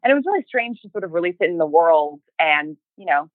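A woman talking casually as an interview guest, halting and often rephrasing as she tells her story. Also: fairly low pitch, big pitch swings, dry recording. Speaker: podcast interview guest, writer and creator. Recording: thin, phone-like narrow band.

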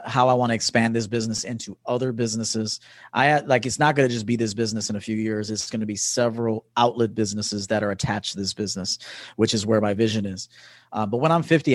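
A man's voice: lowish voice, no echo, studio quality. A man in his early forties talking casually and emphatically as a guest coach in an interview. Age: early 40s. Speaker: podcast guest, men's coach. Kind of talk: casual conversational interview talk.

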